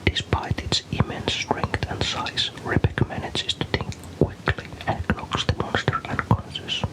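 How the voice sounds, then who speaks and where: A man from Finland narrates a story in a soft, breathy, unvoiced ASMR whisper, calm, careful and unhurried. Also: poor audio.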